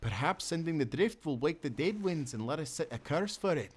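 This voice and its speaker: An actor playing a loyal, tender sailor in a nautical audio roleplay, speaking in an earnest, gentle way. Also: male voice, on the high side, expressive, a little fast, husky. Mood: curious, sympathetic. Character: loyal, tender young sailor, devoted companion.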